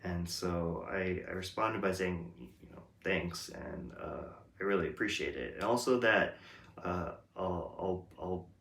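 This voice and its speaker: A man with a deep, low voice talks through his thoughts at an unhurried pace. He hesitates often and restarts his sentences as he reflects aloud. The room around him gives his voice a noticeable echo. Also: fairly bright tone. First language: English. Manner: slow, hesitant, many ums and restarts. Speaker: host. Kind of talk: reflective casual monologue. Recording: roomy echo.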